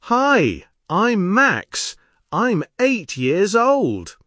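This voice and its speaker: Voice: mid-range voice, huge pitch swings, fairly bright tone, dry recording, studio quality, heavily acted. A chatty young schoolboy. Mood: friendly. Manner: slow, clear, carefully spoken. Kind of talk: scripted textbook dialogue.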